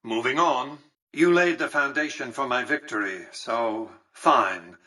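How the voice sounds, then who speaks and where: A man's voice: mid-range voice, unhurried, bright, forceful tone, recorded from a distance, poor audio. An actor playing an imperious, calculating older male tycoon in a video game, speaking in a formal, precise and patronizing way. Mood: cool, commanding. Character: imperious, calculating elderly male tycoon, coolly visionary mastermind. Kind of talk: scripted video game character dialogue.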